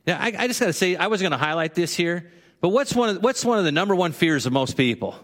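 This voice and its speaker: A man's voice: high voice, expressive, quick pace, fairly bright tone. A man preaching a conversational sermon to a congregation, now and then acting out the voices of figures he quotes. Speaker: pastor. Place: church sanctuary. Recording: long hall reverb.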